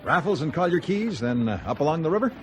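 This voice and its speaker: An actor playing a smooth, insistent male company executive in a radio drama, courteous and deliberate in his phrasing. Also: on the high side, very animated, faint music behind.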